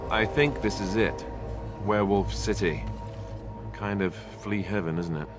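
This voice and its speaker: Casting: actor as laid-back joker companion, fantasy game, lowish male voice, flippant, music underneath. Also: unhurried, lightly acted. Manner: flippant, joking. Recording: music behind the voice.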